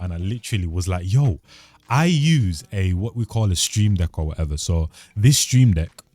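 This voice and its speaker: A young man talks quickly to his channel in a slangy, rambling way. His voice sits very low and sounds dark and mellow. The close desk mic keeps it dry, with no room sound. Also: no echo. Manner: slangy and laid-back, loose and rambling. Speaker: channel host. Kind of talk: casual vlog chat to camera. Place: home recording setup. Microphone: studio mic at a desk.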